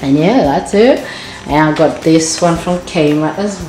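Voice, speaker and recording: A woman's voice: sits low, a little slow, warm tone. Chatty woman touring her echoey home. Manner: chatty, gushing, repeats words for emphasis. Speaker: home vlogger giving a house tour. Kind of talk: casual house tour chat. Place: indoors, bright tiled home rooms. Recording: echoey home rooms with music behind. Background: background music.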